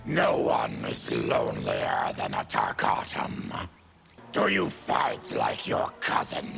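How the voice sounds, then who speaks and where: Gravelly, very deep monster warrior. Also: male voice, sing-song, slow pace, a bit bright, faint music behind, full character voice. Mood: bitter, menacing. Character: diseased fanged monster warrior, bitter and proud. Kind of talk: video game voice acting.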